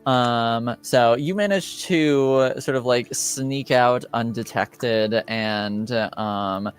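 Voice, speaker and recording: A man serves as game master, narrating a tabletop roleplaying session at an unhurried, drawn-out pace. He talks in a loose, halting way and fills his pauses with ums and likes, while faint music plays quietly behind him. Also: mid-range voice, clear, resonant tone. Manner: relaxed, hesitant, full of ums and likes.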